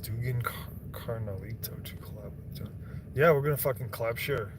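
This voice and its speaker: A man's voice: medium pitch, normal speed. Young guy streaming, rambly and profane, keeps cutting himself off, bit of background noise. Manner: rambling, profane, self-interrupting. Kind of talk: casual rambling chat.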